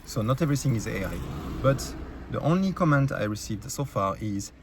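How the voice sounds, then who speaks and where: A man's voice: medium pitch, expressive, brisk, background noise. A man with a French accent, a YouTube creator ranting angrily and bluntly to his viewers, full of swearing, fillers and restarts. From France. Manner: blunt, profane, heated, many fillers and restarts. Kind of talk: angry rant to camera.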